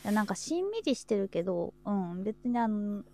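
Creaky-voiced woman in joking casual chat. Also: medium pitch, big pitch swings, normal speed, mellow, faint music behind. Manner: joking, teasing banter. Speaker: host. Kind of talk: casual chat on an audio livestream.

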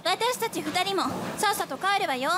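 Prickly teenage girl, very high voice, demanding, anime-style radio drama, loud vehicle ambience. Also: animated, moderate pace, heavy background noise. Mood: huffy, bossy. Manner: haughty, snappish, demanding. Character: bossy, prickly tsundere high school girl.

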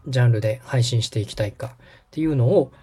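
A man's voice: medium pitch, fast talker, dark tone, slightly rough. A man hosting a podcast, politely and methodically walking someone through a setup step by step. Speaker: podcast host and radio director giving step-by-step guidance. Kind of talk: instructional walkthrough in dialogue.

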